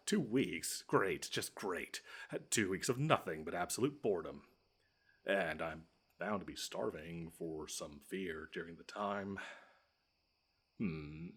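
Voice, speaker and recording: A man voicing a grumpy, pompous monster from under the bed in an audio roleplay, wordy and dryly sarcastic, with drawn-out menace. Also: low voice, slightly rough, heavily acted. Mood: exasperated, then grudging. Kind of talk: scripted audio roleplay monologue.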